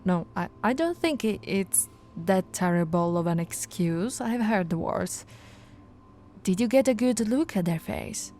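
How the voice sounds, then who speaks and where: Slow, coaxing flirty policewoman, voiced by a woman. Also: lowish voice. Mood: wry. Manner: soft-spoken, coaxing, playfully flirtatious. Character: patient, teasing female police officer. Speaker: ASMR voice actress. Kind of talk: ASMR audio roleplay.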